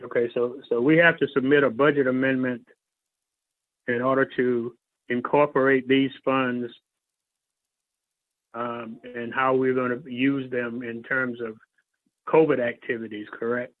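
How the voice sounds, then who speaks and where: A man's voice: medium pitch, little pitch movement, a little slow, pauses often, no echo. A man calling in to a public hearing, asking businesslike questions in a polite, hesitant way. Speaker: caller representing a nonprofit agency. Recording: thin, dry phone line.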